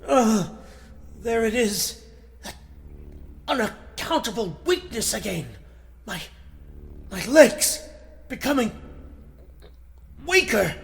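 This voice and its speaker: A man playing an earnest, easily astonished newspaper reporter with halting, exclamatory delivery, in 1940s radio serial style. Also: sits high, expressive, creaky, heavily acted. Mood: weakening.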